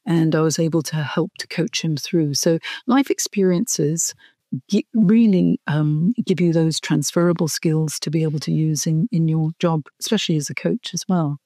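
A woman's voice: low-pitched, moderate pace, dark tone, dry recording, clean studio sound. Earnest middle-aged woman telling her story. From Hong Kong. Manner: earnest, reflective, with restarts. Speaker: career coach guest. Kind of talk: interview storytelling.

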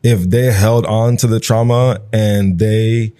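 A man's voice: lowish voice, steady tone, slow, mellow. A Nigerian-born man in his thirties, Igbo his first language, talking in an interview in a loose, reflective way full of "like" and "you know". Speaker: guest. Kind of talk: conversational interview.

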